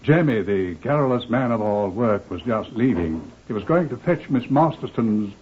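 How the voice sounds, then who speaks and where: A man with a husky voice reading scripted narration for an old radio crime drama. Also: fairly low pitch, expressive, normal speed, a little hiss or hum. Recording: tinny, band-limited old radio recording.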